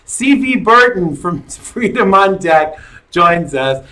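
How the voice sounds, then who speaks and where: Middle aged guy hosting a live stream talk show, kinda high voice, slow and rambly, lots of ums, jokey. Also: sing-song, pushed and bright. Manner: rambling and jokey, with many fillers.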